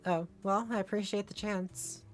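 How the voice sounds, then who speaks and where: A woman's voice: medium pitch, fairly even intonation, normal speed, faint music behind. A woman streaming, reading a visual novel aloud and chatting casually. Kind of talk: livestream read-aloud and casual chat.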